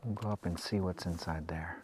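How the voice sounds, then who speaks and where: Deep-voiced man exploring an old hangar. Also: fairly quick, a little hiss or hum. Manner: relaxed, pointing things out as he walks. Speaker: travel vlogger. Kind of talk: casual exploring commentary. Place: outdoors at an old hangar site in snow.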